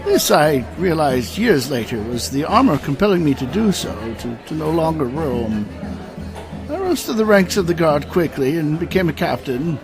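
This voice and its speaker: A man acts out an ancient knight in a fantasy audio drama. The voice is high and swoops freely as he reminisces in formal, courteous, long-winded fashion. Music plays behind him. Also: very animated, moderate pace, crowd noise, rough recording. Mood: reminiscing. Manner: formal, old-fashioned storytelling.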